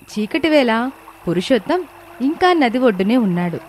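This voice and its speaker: Woman, storybook narrator, high voice, scripted moral tale, faint background noise. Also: big pitch swings, normal speed, a little mellow.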